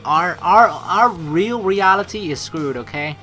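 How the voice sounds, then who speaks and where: A man's voice: high voice, expressive, measured pace, pushed and bright, soft background music. A man hosting a let's play, reading game text aloud and reacting casually and animatedly, with free swearing.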